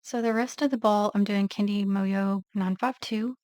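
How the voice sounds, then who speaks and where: A woman vlogger casually narrating her nail art step by step, thinking aloud in a relaxed, unhurried way. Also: mid-range voice, no room sound, studio quality.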